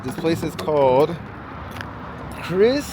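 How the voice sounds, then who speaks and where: A man filming a casual travel vlog while walking outdoors through quiet city streets, rambling chattily with lots of "like" and "um". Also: on the high side, very animated, slow pace. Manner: rambling, chatty, many "like" and "um" fillers. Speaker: travel vlogger. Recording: outdoor street noise and traffic.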